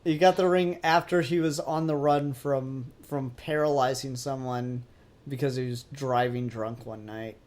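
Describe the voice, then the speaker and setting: A man's voice: medium pitch, fairly even intonation, measured pace, a little mellow. A man talking casually as a podcast host, opinionated and emphatic, swearing freely. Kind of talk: casual pop-culture podcast discussion.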